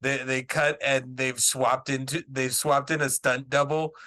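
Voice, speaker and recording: Guy with a bright voice, laid back movie chat, lots of uh and laughing. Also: mid-range voice, level tone, slight background noise. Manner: laughs often, halting with fillers. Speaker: podcast co-host. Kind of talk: casual movie discussion.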